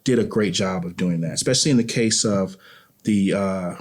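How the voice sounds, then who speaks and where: A man's voice: low-pitched, normal speed. A man speaking as an interview guest in a reflective, earnest conversation, often repeating and rephrasing as he goes.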